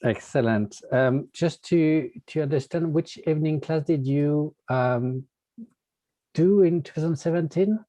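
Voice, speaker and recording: A man with a mellow, warm tone asks polite interview questions, pausing often with fillers. The sound is dry and close, with no room echo. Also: on the high side. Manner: polite, halting, frequent fillers. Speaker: interviewer, magazine editor.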